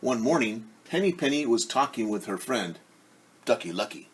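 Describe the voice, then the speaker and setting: A man reading a children's story aloud as a teacher, expressive, with casual asides that explain things simply. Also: medium pitch, normal speed, slight background noise, low-quality recording.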